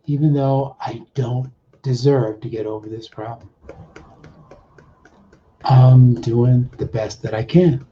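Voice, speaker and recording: A man working as a coach, leading a guided self-help exercise in short, deliberate, repeated phrases with pauses between them. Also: mid-range voice, steady tone, dark tone, a little hiss or hum. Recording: dull, muffled lo-fi audio.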